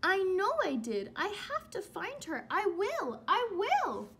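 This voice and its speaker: A woman voicing an eager, anxious little male baby bird from a storybook, with drawn-out, exclaiming words and plaintive calls. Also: very high-pitched, wildly swooping pitch, a bit bright, pitched above the performer's own voice, exaggerated voice. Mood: determined.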